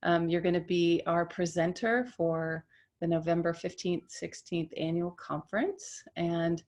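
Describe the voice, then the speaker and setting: A woman's voice: fairly low pitch, fairly even intonation, unhurried, a little mellow. A woman interviewing a guest over a video call, asking encouraging questions. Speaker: interviewer for a professional society.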